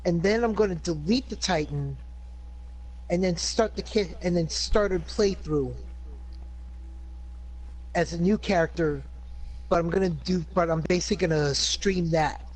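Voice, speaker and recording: A man's voice: high voice, a little slow, pauses often, darkish tone, rough recording. A man chatting casually among friends before a game, rambling through his plans. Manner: rambling, restarts and trails off. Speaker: player. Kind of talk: casual chat.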